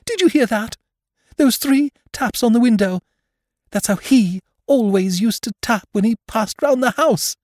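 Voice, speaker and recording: Frantic widow, played by a male narrator, high-pitched, gasping, mystery audiobook melodrama. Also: animated, unhurried, a little mellow, no room sound, studio quality. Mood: agitated, fearful. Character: terrified, hysterical widowed woman with a guilty secret. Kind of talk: dramatised audiobook reading of a mystery story.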